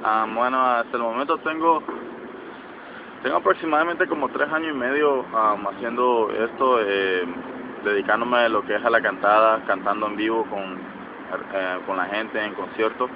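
A man's voice: fairly low pitch, fairly even intonation, moderate pace. A man in his twenties from the Atlantic coast of Nicaragua, a reggaeton artist answering interview questions outdoors with many fillers and restarts. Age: 20s. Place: outdoors by a sports field in a city. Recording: lo-fi with street and traffic noise.

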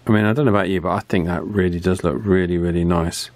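A man's voice: low-pitched, fairly even intonation, fast talker, darkish tone, no room sound. A man with a British accent giving a casual, chatty hands-on product review in colloquial language. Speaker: radio reviewer.

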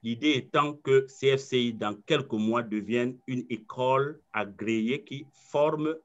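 A man's voice: medium pitch, unhurried. Earnest self-assured man on a video call. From Cameroon. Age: 40s. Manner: earnest, self-assured, repeats words while thinking. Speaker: coach and trainer. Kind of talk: webinar presentation. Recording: slightly muffled video call audio.

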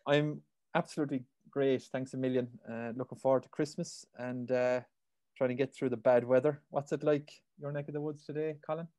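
A man's voice: medium pitch, fairly even intonation, a little fast, dark tone. A man, hosting a casual interview over a video call, often repeating words as he asks. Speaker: host. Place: home room. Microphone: video call with earbuds.

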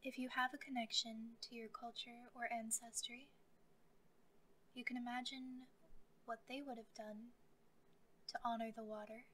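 A woman leading a guided meditation, speaking gently and calmly as she gives soft scripted instructions. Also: fairly high pitch, measured pace, pauses often, slight background noise.

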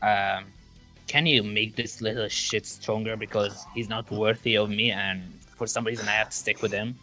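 A man's voice: lowish voice, flat delivery, normal speed, pushed and bright, soft background music. A man voicing a grumpy, vain, ancient talking sword in an improvised cartoon fandub, nagging and complaining like a bossy mentor. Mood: scolding.

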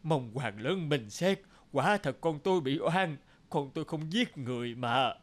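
Male actor as old villager parent, protective, pleading, anguished, expressive pitch, cartoon folktale, music behind. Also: measured pace. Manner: imploring, anguished. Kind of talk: animated folktale acting.

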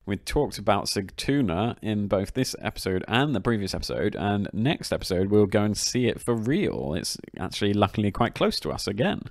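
A man's voice: on the low side, very animated, normal speed, hardly any pauses, no room sound, studio quality. A man co-hosting a history podcast in an upbeat, chatty way. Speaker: podcast co-host. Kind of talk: casual history podcast chat.

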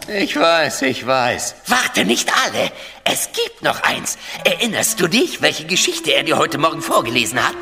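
A man playing a scrappy, loyal male raven in an audio drama, with an excitable delivery. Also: a little high, unhurried, hardly any pauses, heavily acted. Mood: urgent. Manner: excitable, exclamatory. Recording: background music under the voice.

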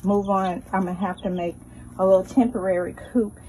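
A woman's voice: medium pitch, steady tone, fairly quick, mellow. A middle-aged woman, giving casual commentary on a phone video in her backyard. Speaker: backyard chicken owner. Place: outdoors in a fenced backyard. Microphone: handheld phone behind the camera. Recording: noisy outdoor phone recording with birds. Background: chickens and chirping birds.

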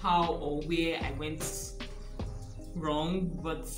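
A woman's voice: sits low, steady tone, very slow. A young woman with a Nigerian accent, chatting casually to a close camera in a small room, laughing often and teasing her own doubts. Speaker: vlogger. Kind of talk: casual hair vlog. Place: small room indoors, rain outside. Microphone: camera close, at arm's length. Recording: echoey room with background music.

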